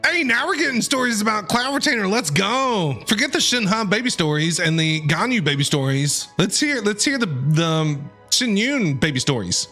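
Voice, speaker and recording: A man giving casual, slangy, excitable reaction commentary as a game streamer. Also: sits high, moderate pace, hardly any pauses. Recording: game music behind.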